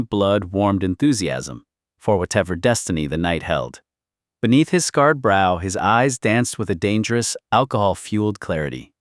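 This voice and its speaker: A man narrates a historical drama in a lowish voice. His delivery is solemn and theatrical, and the sound is dry, with no room around it. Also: no room sound. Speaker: audiobook narrator.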